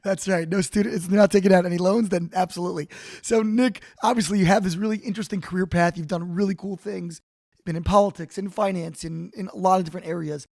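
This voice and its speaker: A man's voice: high-pitched, steady tone, quick pace, creaky, a little mellow, dry recording, clean studio sound. A male podcast host interviewing a guest, friendly and curious, reacting with "wow" and "incredible". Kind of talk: interview hosting.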